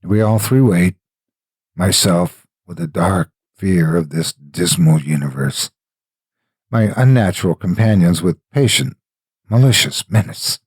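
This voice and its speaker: A man with a husky voice, a storyteller delivering scripted narration in deliberate, theatrical phrasing. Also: sits low, expressive, no room sound, studio quality. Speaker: horror story narrator and host. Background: faint music.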